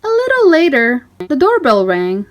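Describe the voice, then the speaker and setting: A woman reading a children's story aloud in an animated, emphatic, child-directed way. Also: high voice, normal speed, music in the background. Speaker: storybook reader. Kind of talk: expressive children's read-aloud narration.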